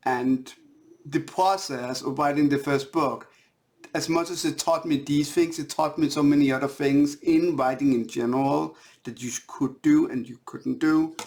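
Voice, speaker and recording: A Danish-accented man speaks slowly, with plenty of gravelly fry in his voice. He is an author talking informally about his own work, and he halts and circles back over phrases as he looks for the right word. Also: fairly high pitch, fairly even intonation, darkish tone. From Denmark. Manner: halting, searching for words, repeating phrases. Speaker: indie author.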